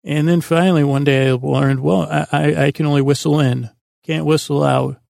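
A man with a creaky, gravelly voice hosting a sleep podcast in a meandering, halting, self-deprecating monologue full of tangents. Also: on the high side, steady tone, darkish tone, no room sound, clean studio sound. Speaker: podcast host.

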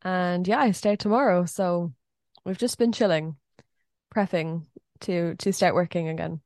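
Chatty podcast woman, voice a bit deep. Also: a little mellow, no room sound, studio quality. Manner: chatty, quick, giggly. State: voice a bit deeper than usual after a night out. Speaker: podcast co-host. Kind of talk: casual chat. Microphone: podcast microphone.

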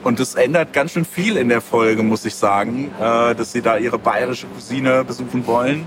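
A man's voice: mid-range voice, normal speed. A man speaking casually as an interview guest, thinking aloud in a rambling way. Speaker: interview guest, graphic novel creator. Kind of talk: casual chat. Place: outdoors at a busy book fair. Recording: clearly noisy outdoor fair ambience.

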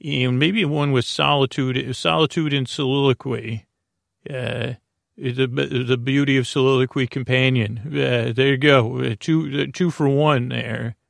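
A man hosting a bedtime podcast, talking in slow meandering tangents full of fillers and restarts, with a creaky voice. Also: medium pitch, fairly even intonation, dry recording, studio quality. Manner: meandering, filler-heavy, self-interrupting, soft. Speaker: podcast host. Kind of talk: rambling bedtime-story podcast talk.

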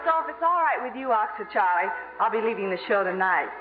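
A woman's voice: medium pitch, expressive, fast talker, lightly acted. An actress playing a smart, affectionate young showgirl sweetheart in 1950s radio comedy style. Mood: earnest. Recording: tinny, reverberant old radio recording with music.

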